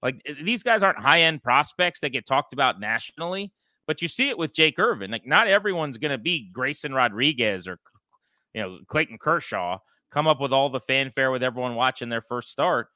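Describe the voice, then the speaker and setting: A man's voice: medium pitch, very animated, a little fast, pushed and bright, dry recording, studio quality. A man co-hosting a sports podcast, giving opinionated, discursive commentary in a conversational way.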